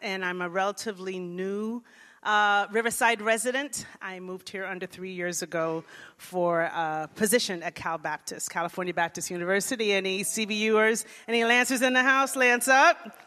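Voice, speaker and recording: Bright-voiced woman preaching in a reverberant church. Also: mid-range voice, moderate pace. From Jamaica. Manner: lively, engages congregation, softens into prayer. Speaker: guest speaker. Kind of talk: church sermon talk. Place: church sanctuary. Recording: long reverb of a large hall.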